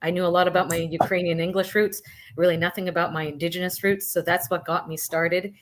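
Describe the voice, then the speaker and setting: A middle-aged woman on a discussion panel, speaking briskly and personally. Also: on the low side, flat delivery.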